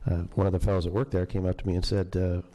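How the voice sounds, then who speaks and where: A man's voice: sits low, flat delivery, very fast, dark tone. A man on a city council, asking a rambling question with many fillers. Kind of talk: questioning at public meeting. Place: council chamber.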